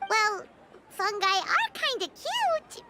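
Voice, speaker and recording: A woman voicing a tiny, perky companion sprite in a video game, childlike, squeaky and expressive. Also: takes it slowly, noisy background, full character voice. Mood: curious.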